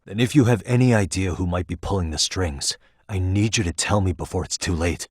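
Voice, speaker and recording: A man's voice: sits low, husky, no echo. A man playing a determined, streetwise detective in a video game, dry and probing in his terse delivery. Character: determined, streetwise male detective, dogged and wry. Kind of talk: scripted video game dialogue.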